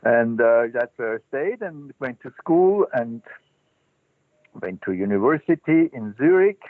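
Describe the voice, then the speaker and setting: An older man, a Zen teacher telling his life story as an interview guest, halting and thoughtful with many restarts. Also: medium pitch, animated, no echo. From Switzerland. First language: German. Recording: dry, tinny phone-line sound.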